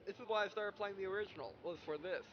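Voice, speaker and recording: A man with a high voice plays a game and talks through it casually. He reads dialogue aloud haltingly, tripping and correcting himself. The recording is dull and lo-fi. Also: slight background noise. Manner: halting, stumbling read-aloud with self-corrections. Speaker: gaming video host. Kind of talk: casual let's play, reading dialogue aloud.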